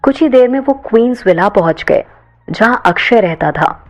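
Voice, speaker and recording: A woman's voice: on the high side, lively intonation, normal speed, lo-fi sound. A woman reading a scripted audio story as a dramatic narrator.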